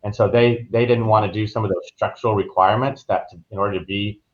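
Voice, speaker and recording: A man's voice: on the low side, steady tone, fast. An American man speaking as a travel agent guest on a podcast, explaining things in an informal, conversational way. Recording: dull, lo-fi online call audio.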